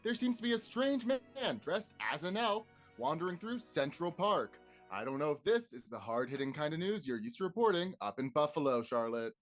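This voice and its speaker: A man's voice: on the high side, very animated, normal speed, faint music behind. A man playing a smug, condescending TV news anchor in a live table read.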